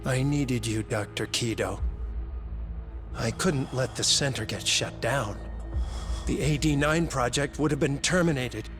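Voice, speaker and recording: Male researcher played by an actor: grave, formal, coldly zealous, mid-pitched, game drama with music underneath. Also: a bit bright, lightly acted. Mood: insistent, cold. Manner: measured, formal, insistent. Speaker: medical researcher. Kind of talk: dramatic video game cutscene acting.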